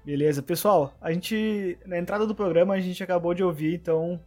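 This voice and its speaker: A man hosting a podcast, briskly introducing the show and welcoming his guests. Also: high voice, fairly even intonation, a little mellow. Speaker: podcast host.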